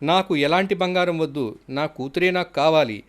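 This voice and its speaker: A man's voice: a little high, moderate pace, natural voice. A man voicing a rich, greedy king in a children's storybook tale, pleading with god. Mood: desperate pleading.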